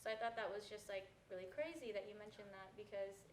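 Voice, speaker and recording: A woman's voice: medium pitch, fast talker, noisy background. An eighteen-year-old woman from California moderating a casual panel discussion, talking loosely with lots of filler words. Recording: roomy recording.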